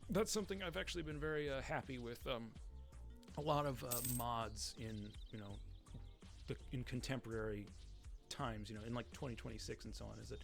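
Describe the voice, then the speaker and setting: A man's voice: medium pitch, brisk, gravelly, soft background music. A young man giving casual let's play commentary, rambling and hesitant as he goes. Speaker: let's play video maker.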